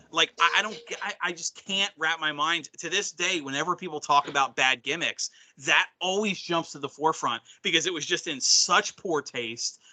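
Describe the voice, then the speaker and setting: A man co-hosting a wrestling talk show in casual chat, rambling with frequent restarts and a voice going hoarse. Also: fairly high pitch, sharp tone. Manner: rambling, restarts often, clears throat. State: losing his voice. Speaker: wrestling podcast co-host.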